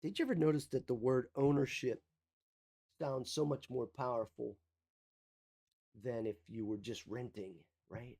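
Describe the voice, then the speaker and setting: A mindset coach giving an emphatic motivational talk, repeating key phrases, with a slight cough. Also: male voice, mid-range voice, a little slow, long pauses, warm tone.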